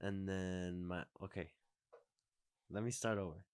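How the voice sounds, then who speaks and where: A man's voice: sits low, fairly even intonation, unhurried, clean tone, no echo. A man in his twenties hosting a podcast, chatting casually, rambling and restarting his sentences. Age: 20s. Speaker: podcast host. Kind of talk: casual chat.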